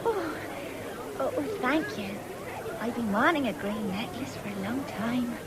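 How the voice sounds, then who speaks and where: A woman's voice: fairly high pitch, expressive, unhurried, a loud crowd. An actress playing a thrifty, forthright young country woman with a rural English accent in a 1970s radio drama, coy and scolding. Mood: coaxing, eager. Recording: noisy old radio broadcast, fairground din.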